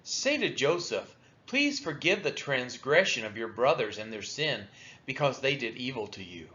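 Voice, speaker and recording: Guy reading the bible out loud, mid pitch, solemn and measured, kinda lo-fi muffled sound. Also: big pitch swings. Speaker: Bible reader for a church daily read-along. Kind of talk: scripture read-aloud. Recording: dull, lo-fi sound.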